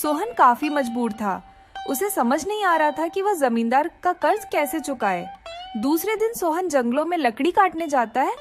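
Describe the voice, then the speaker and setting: A woman's voice: high voice, very animated, normal speed, over music. A woman narrating a scripted moral story for a cartoon.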